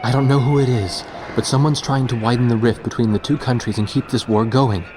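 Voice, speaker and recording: A man's voice: medium pitch, fairly even intonation, a little fast, darkish tone, slight background noise, close to an everyday voice. Actor as an earnest, serious military man. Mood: urgent, serious. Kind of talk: dubbed game cutscene drama.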